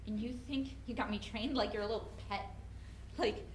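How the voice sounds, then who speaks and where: A woman acts an anxious young mother trying to escape a controlling partner. Her voice sits high. The stage reading is caught in a reverberant room with clear background noise. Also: normal speed, lightly acted. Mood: angry, defiant. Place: live presentation in an echoey room. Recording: roomy, noisy live stage recording.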